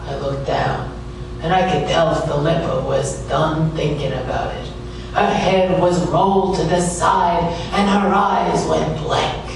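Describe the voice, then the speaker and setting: A man's voice: a little high, steady tone, slow, gravelly, noisy background. Man acting a wry noir private eye, very echoey. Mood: wry, brooding. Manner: sardonic, hardboiled. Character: wry, world-weary male private detective. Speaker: actor and narrator. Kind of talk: noir radio drama narration. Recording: echoey room, distant mic, music, amateur sound.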